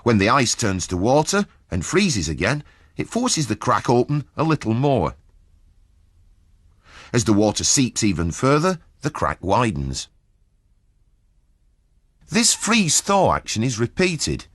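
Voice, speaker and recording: Male narrator for educational film, husky and highly animated in delivery, dry recording. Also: a little slow, pauses often, no echo.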